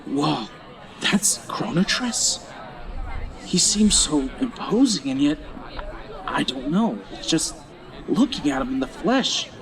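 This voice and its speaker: A man's voice: on the high side, sing-song, takes it slowly, long pauses, crowd noise, heavily acted, low-quality recording. An actor playing an earnest, awestruck young male apprentice, tentative and wondering, in a fantasy audio drama. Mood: awed.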